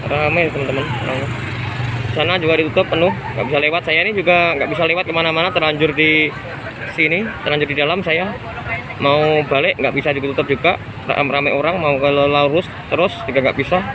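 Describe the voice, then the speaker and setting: A man holds his phone up outdoors at night and reports the scene to his viewers. He talks urgently and keeps repeating himself. His voice sits a little high, and the phone picks up loud street noise around him. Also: steady tone, brisk. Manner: urgent, repetitive, addresses viewers as friends. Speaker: citizen reporter vlogger. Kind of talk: on-the-spot phone video report. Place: outdoors at night by a mall fire, busy street. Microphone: phone camera held by the filmer.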